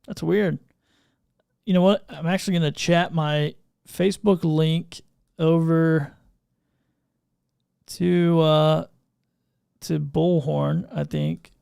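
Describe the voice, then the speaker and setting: A man hosting a livestream podcast, chatting informally and unscripted, thinking out loud as he troubleshoots. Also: fairly high pitch, fairly even intonation, slow, creaky, pauses often, clear, resonant tone, no room sound, studio quality. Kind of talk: casual unscripted livestream chat. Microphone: podcast microphone through audio mixer.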